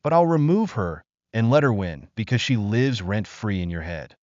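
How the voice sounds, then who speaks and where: A man's voice: on the low side, very animated, normal speed, a little mellow, dry recording. A man voicing an irritated, condescending boyfriend, quoted in a story he narrates. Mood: scolding. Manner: scolding and belittling. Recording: dry, slightly dull lo-fi sound.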